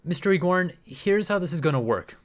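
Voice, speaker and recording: A man's voice: high voice, animated, brisk. An actor playing a formal, businesslike male agent conducting a recorded interrogation in a radio play. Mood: formal, controlled. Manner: formal, procedural, controlled.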